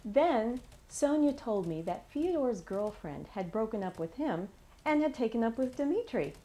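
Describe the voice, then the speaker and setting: Woman as a mellow, swooping gossipy woman. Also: a little high, sing-song, measured pace, poor audio. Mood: gossipy, suspicious. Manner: wry, insinuating. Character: gossipy, suspicious, opinionated woman speculating about others.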